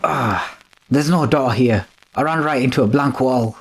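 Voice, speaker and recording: Male actor as nervous manservant, medium pitch, whimpering, deferential, complaining, classic radio drama style. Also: measured pace, vocal fry, breathy edge. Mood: frightened.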